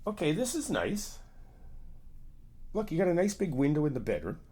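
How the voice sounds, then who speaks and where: A young man in an ASMR comfort roleplay, talking to the listener as a reassuring friend in a chatty way with soft laughs. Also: fairly high pitch, sing-song, moderate pace, husky.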